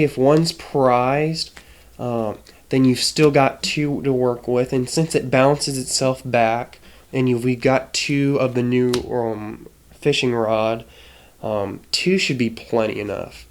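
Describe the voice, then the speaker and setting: A man with a nasal, stuffy voice from a cold, casually explaining a card game deck with many hesitations. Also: medium pitch. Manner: hesitant, frequent ums and restarts. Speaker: trading card game content creator.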